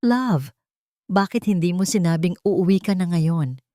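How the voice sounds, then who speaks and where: A woman voicing a cruel, scheming young fiancée who turns from sharp threats to false sweetness. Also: lowish voice, lively intonation, measured pace, dry recording, studio quality. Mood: falsely sweet.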